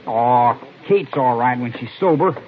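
An actor playing a put-upon, restless cowboy of twenty-one in a radio Western, with a boyish complaining way of talking. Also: male voice, medium pitch, animated, measured pace, close to an everyday voice. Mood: annoyed. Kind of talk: old-time radio Western acting. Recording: lo-fi vintage radio recording.

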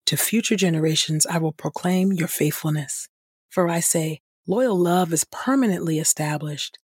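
A woman reading scripture as a podcast host, in a measured style with deliberate, reverent phrasing. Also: lowish voice, slightly rough, dry recording, studio quality.

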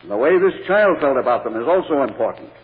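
An actor playing a composed, protective man of the law in a radio courtroom drama, speaking formally and with gentle firmness. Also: mid-range voice, very animated, lightly acted. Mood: firm. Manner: measured, formal, gently firm. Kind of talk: 1940s radio drama acting. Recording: echoey, tinny old radio recording.

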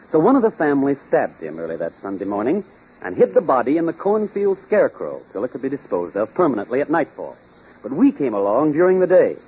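A young amateur detective from a 1930s radio mystery, methodical and sure of his logic. The voice is notably husky, medium in pitch and swings expressively as he lays out each step. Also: dull audio. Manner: theatrical, logical, step-by-step explaining. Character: clever, methodical young male amateur detective explaining deductions. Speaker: detective lead and quiz host.

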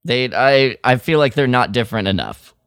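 A man's voice: mid-range voice, expressive, moderate pace, a bit bright, clean tone, dry recording, clean studio sound. A man co-hosting a podcast in casual chat, with an informal, playful way of bantering. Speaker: podcast co-host.

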